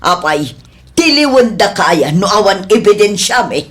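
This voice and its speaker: A woman playing a stern female village captain in a comedy drama, admonishing and questioning deliberately. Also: medium pitch, sing-song. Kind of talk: Ilocano comedy audio drama acting.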